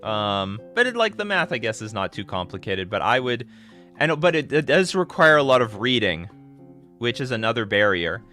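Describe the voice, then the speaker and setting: Bright-voiced man rambling on a livestream. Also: medium pitch, normal speed, faint music behind. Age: 30s. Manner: rambling, excitable, restarts sentences. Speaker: livestream host. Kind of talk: casual live-stream chat answering viewer questions.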